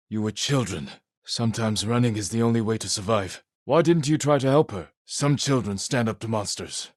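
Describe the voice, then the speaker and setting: An actor playing a solemn, resolute samurai warrior in a video game, speaking in a measured, earnest, formal way. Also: male voice, medium pitch, no room sound, clean studio sound, close to an everyday voice. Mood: urgent, firm. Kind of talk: dramatic video game voice acting.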